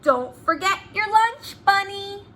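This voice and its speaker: A cheerful, silly storybook schoolteacher, as a woman reader puts her on. Her voice is high and very lively, and she makes announcements slowly in drawn-out, teacherly tones. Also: a little hiss or hum, heavily acted. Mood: cheerful announcing.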